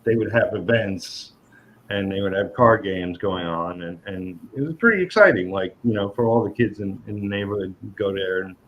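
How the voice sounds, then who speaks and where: A host from New York talks in a lowish voice with plenty of hesitation and restarts. The sound is dull and lo-fi, with a faint noise behind it. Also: male voice, moderate pace. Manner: hesitant, many ums and restarts. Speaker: podcast host. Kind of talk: casual interview chat.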